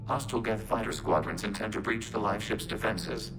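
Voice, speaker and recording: A man voicing a calm, logical machine intelligence in a video game, speaking in a measured, formal, emotionless way. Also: fairly low pitch, music in the background, lightly acted, rough recording. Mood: detached, measured.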